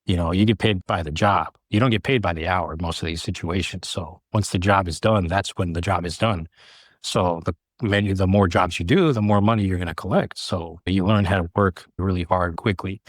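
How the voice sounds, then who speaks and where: A man in his late thirties with a low-pitched voice recounts his own path as an interview guest. He speaks earnestly, laying out his story in short, measured phrases. The sound is dry, with very little room around the voice. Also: no echo. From California, United States. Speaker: air traffic controller, podcast guest. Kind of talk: interview storytelling, personal career account.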